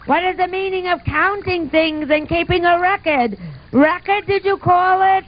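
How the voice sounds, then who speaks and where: A woman's voice: very high-pitched, moderate pace. A woman voicing a wild, blunt young tyrannosaurus in a puppet show, scornful and full of questions. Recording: muffled, noisy amateur puppet show recording.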